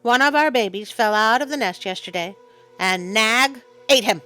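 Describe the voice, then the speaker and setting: A woman's voice: a little high, animated, normal speed, bright, forceful tone, faint music behind, pitched above the performer's own voice. A woman voicing a sorrowful little male tailor bird in a storybook. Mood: miserable. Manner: mournful.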